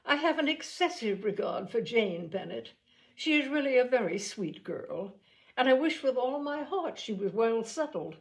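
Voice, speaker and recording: Woman as snobbish married lady, affected, falsely sweet, condescending, animated intonation, storybook reading. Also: on the high side, moderate pace, creaky, pitched above the performer's own voice. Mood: falsely sympathetic. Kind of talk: audiobook character acting.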